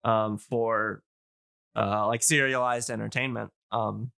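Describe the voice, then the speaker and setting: A man's voice: on the low side, fairly even intonation, takes it slowly, creaky, sharp tone, no room sound, studio quality. A man hosting a podcast, explaining things casually in a rambling, hesitant but enthusiastic way. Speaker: podcast host.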